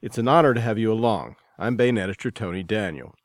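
A man's voice: fairly low pitch, animated, fast, dry recording, studio quality. A man reading scripted narration as the host and editor presenting a podcast.

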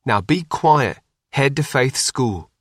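A man's voice: mid-range voice, expressive, takes it slowly, no room sound, pitched above the performer's own voice. A male audiobook reader acts out a father bracing for disaster. He is curt and commanding, giving clipped orders with urgency. The sound is close and dry. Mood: urgent, commanding. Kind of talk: audiobook character dialogue.

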